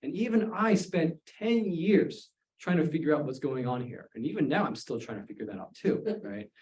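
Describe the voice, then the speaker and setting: A man from Taiwan gives his practical advice on a panel in a mellow, warm-toned voice. He speaks emphatically and directly, tossing in "you know" and "right". He is picked up from far away in a room with a strong echo. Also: a little high, expressive, moderate pace. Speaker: pastor on a panel. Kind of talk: panel discussion.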